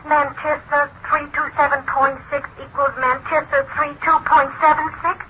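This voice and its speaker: A woman playing a female thinking computer, reciting numbers and formulas haltingly in a 1940s radio drama. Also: high-pitched, flat delivery, bright, forceful tone. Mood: cold, reciting. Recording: muffled, noisy 1940s radio recording.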